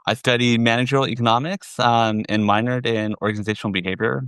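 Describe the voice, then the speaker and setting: A middle-aged man with an American accent, giving measured, explanatory answers as an interview guest. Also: mid-range voice, steady tone, fairly bright tone, clean tone, no echo. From southern California, USA. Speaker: interview guest, finance executive.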